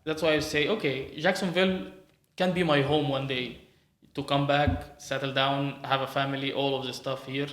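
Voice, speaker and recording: A man's voice: mid-range voice, steady tone, moderate pace, fairly bright tone. An Egyptian man with an Egyptian Arabic accent, a native Arabic speaker hosting a podcast in casual, sociable conversation. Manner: chatty and sociable, laughs easily. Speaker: podcast host. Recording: echoey room.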